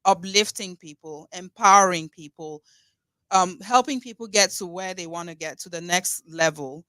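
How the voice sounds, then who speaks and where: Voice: medium pitch, normal speed, no room sound. A podcast host talking casually and at length, with frequent restarts and light laughter. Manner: warm-hearted, rambling, with frequent restarts and laughs. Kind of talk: casual conversational interview.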